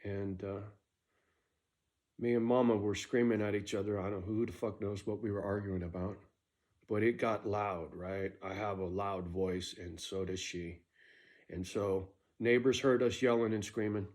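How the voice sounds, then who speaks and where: A man's voice: fairly low pitch, fairly even intonation, normal speed, darkish tone. A middle-aged man casually telling a story from his own life, blunt and profane, often tagging "right?" onto his sentences.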